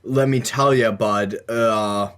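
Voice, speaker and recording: A man with a lowish voice co-hosts a casual discussion. He speaks slowly and haltingly, thinking his way through each explanation. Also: steady tone, a bit bright, clean tone. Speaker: podcast co-host.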